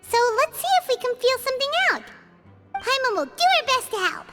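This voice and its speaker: A woman voicing a small, cheeky female fairy companion in a video game, with a squeaky childlike voice and a perky manner. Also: sing-song, brisk, bright, forceful tone, soft background music, exaggerated voice. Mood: curious, determined. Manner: perky, speaks of herself in third person. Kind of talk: animated game voice acting.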